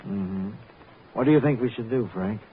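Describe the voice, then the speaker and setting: An actor playing a smooth, menacing crime boss who speaks with mocking politeness in an old radio drama. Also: male voice, fairly low pitch, natural voice. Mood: quietly menacing. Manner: deliberate, mockingly polite, echoing questions. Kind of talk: old-time radio acting. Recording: tinny, noisy vintage radio recording.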